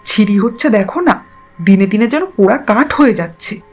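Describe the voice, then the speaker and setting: A spiteful middle-aged mother-in-law, played by a woman in an audio drama. Her mid-pitched voice sneers with scorn and mockery, music playing underneath. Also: animated, normal speed, darkish tone, natural voice. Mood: scornful. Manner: scornful, mocking. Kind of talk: audio story acting.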